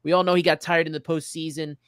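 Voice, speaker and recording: A man's voice: fairly high pitch, level tone, quick pace, fairly bright tone, clear, resonant tone, no room sound, clean studio sound. A man hosting a casual sports interview, chatting as he puts questions to a guest. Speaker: podcast host.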